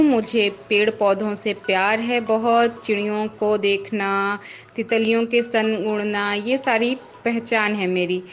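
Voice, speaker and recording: A woman from Jharkhand introducing herself to listeners as a radio presenter. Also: on the high side. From Jharkhand, India.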